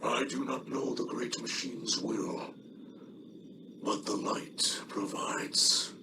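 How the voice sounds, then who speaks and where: A man voicing a solemn, honorable alien warrior and father, gravelly and breathy, speaking in grave, halting phrases, as video game dialogue. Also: mid-range voice, steady tone, background noise. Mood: solemn, reflective.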